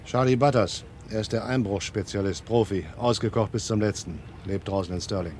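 Weary forger male actor: resigned, matter-of-fact, lower register, vintage radio drama over faint noise. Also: fairly quick, a little mellow, natural voice. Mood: resigned, terse. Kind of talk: German crime radio play acting.

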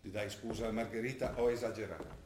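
An actor playing a middle-aged provincial father in a stage drama, gruff and reproachful, speaking colloquially with a Trentino northern Italian accent. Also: on the low side, fairly even intonation, a little fast, a little mellow, slight background noise, close to an everyday voice. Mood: scolding. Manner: scolding, colloquial. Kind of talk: stage drama acting in dialect. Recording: roomy theatre sound, faint music. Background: faint music.

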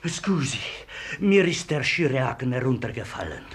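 An actor playing a sly, theatrical Italian hotel guest with a husky voice and an Italian accent in a 1950s radio play. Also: male voice, medium pitch, big pitch swings, normal speed, noisy background. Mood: polite, probing. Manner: flamboyant, insinuating, mixes in Italian words.

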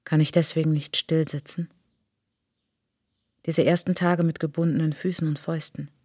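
Radio drama lead: a wounded, searching woman narrator, deep for a woman, husky, deliberate short phrases. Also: level tone, mellow, dry recording, clean studio sound. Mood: searching, reflective. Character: introspective, wounded woman traveller recalling her outcast childhood. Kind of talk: literary radio drama monologue, fragmented and poetic.

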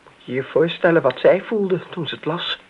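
An actress playing an insistent middle-aged woman in a radio drama, probing and pointed, with a husky voice. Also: low-pitched, moderate pace, slight background noise, lightly acted. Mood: accusatory. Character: insistent grown daughter confronting an older family friend. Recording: dull, lo-fi radio drama recording.

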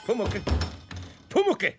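A man with a Bavarian accent playing a grumbling but good-hearted older master craftsman in a 1970s children's radio play, gruff and folksy. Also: slow, darkish tone, soft background music, natural voice. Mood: angry, scolding.

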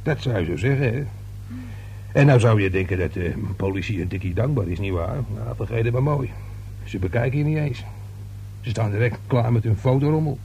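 An actor playing a nosy, grumbling working-class man in a radio drama, talking in a halting, colloquial, self-important way with a husky, gravelly voice. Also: lowish voice, expressive, warm tone, a little hiss or hum, poor audio. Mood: indignant.

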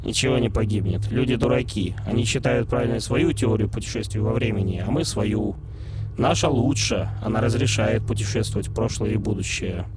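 A man's voice: fairly low pitch, brisk, close to an everyday voice. A man voicing a proud, suspicious male sphinx who lectures in a haughty, grumbling way. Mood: lecturing. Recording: loud background noise.

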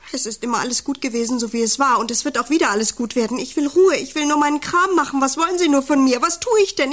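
An actress in a radio play playing a plain-spoken, long-suffering country wife, blunt, shifting from muted questions to exasperated outbursts. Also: female voice, sits high, a bit bright. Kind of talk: German radio play acting.

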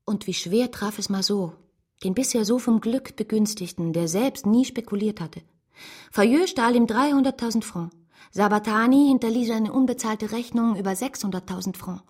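An actress playing a principled, grieving woman who tells her story with measured restraint in a German radio drama, in a breathy voice. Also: on the high side, darkish tone. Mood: bitter reflection. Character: Caroline, a grieving, principled woman narrating bitterly, devoted to her brother.

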